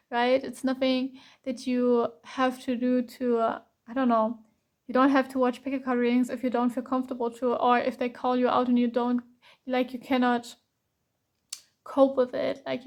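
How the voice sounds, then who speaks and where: A woman with a German accent, German being her first language, giving a blunt, earnest pick-a-card tarot reading full of ums and restarts. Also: high voice. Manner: blunt, earnest, calling the viewer out directly; frequent ums and restarts, repeats "to be honest".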